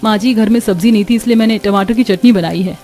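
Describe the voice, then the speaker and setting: A woman voicing a patient, hardworking poor daughter-in-law in a cartoon, gentle and practical. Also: medium pitch, fast talker, close to an everyday voice. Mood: calm, reassuring. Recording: music behind the voice.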